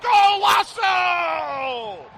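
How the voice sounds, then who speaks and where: A man's voice: extremely high pitch, sing-song, extremely slow, creaky, some chatter behind. A man calling a live soccer match as a radio play-by-play commentator. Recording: loud stadium noise behind commentary. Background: stadium ambience.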